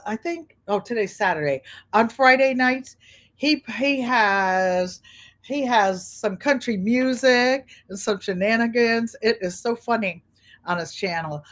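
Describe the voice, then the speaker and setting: A middle-aged woman from Connecticut with a Connecticut accent, a quilting channel host who chats frankly and rambles while sewing. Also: mid-range voice. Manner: rambling, frank, talks to viewers by name. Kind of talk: chatty livestream talk while sewing.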